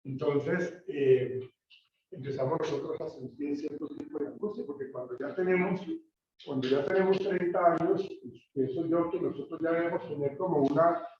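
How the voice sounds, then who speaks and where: A man's voice: fairly high pitch, normal speed, darkish tone. Colombian male lecturer in an echoey room. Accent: Colombian Spanish. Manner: conversational, frequent hesitations and tag questions. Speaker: university lecturer. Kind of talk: classroom lecture. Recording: roomy, distant, dull recording with faint noise.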